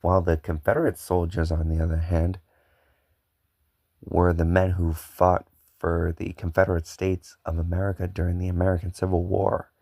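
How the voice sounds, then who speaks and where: A man's voice: low voice, flat delivery, normal speed, gravelly, mellow, no echo. A man playing an earnest local hero giving stiff, recited answers in a scripted interview.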